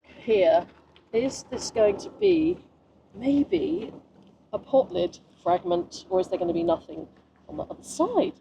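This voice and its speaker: A woman's voice: on the high side, very animated, a little slow, noisy background. A woman chatting casually and enthusiastically outdoors on a river foreshore, as a guide to her hobby. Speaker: mudlarker and artist, guest guide.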